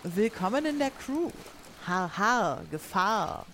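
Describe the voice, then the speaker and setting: Woman playing a slow-spoken, commanding pirate captain. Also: mid-range voice, sing-song, a crowd in the background. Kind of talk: improvised audio drama acting.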